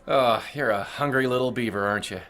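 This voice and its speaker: An actor playing a crude, domineering corporate boss in an audio drama, mocking and menacing in manner. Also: male voice, mid-range voice, a little fast, fairly bright tone, lightly acted.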